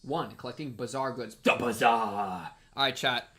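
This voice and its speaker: A man hosting a live game playthrough, excitable and given to repeating his phrases, bantering with chat and reading game text aloud. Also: mid-range voice, normal speed. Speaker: livestream host.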